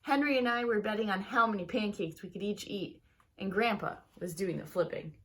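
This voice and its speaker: A woman reading a children's storybook aloud like a teacher, with expressive, emphatic phrasing aimed at young listeners. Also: medium pitch, brisk, poor audio. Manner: talks directly to young listeners.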